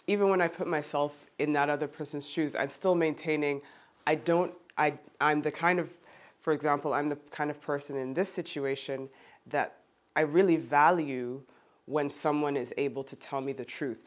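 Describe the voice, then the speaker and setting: A man with a slightly higher voice, talking through a thought in a group discussion. He keeps circling back and correcting himself as he searches for his words. He is heard close and clear. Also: fairly even intonation, moderate pace. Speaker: discussion participant.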